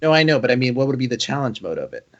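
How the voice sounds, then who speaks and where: A man hosting a casual gaming podcast who reads listener questions aloud, halting and restarting as he goes. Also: medium pitch, very animated, fairly bright tone, no room sound. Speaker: podcast host. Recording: dull, dry recording.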